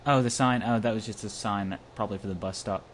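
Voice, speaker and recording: A man's voice: on the low side, fairly even intonation, fast. A man co-hosting an amateur let's-play, reading visual novel narration aloud and adding joking asides. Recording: noisy home recording.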